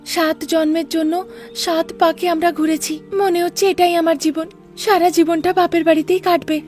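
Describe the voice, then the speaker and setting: A very high-pitched young village bride from a cartoon tale, played by a woman. She sounds plaintive and wistful, pining for her husband, with faint music behind her. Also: little pitch movement, normal speed, darkish tone. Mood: sad, longing.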